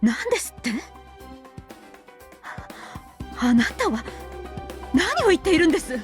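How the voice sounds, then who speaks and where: A woman's voice: very high voice, very animated, rapid-fire. A woman playing a stern, proper married lady in a visual novel, speaking in a formal, clipped, demanding way. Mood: shaken, indignant. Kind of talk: Japanese visual novel voice acting. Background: background music.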